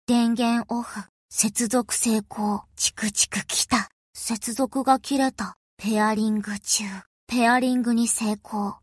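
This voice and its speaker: A woman voicing an aloof, quirky young idol girl with deadpan, clipped phrasing, in anime-style prompts. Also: fairly high pitch, husky, no echo, clean studio sound.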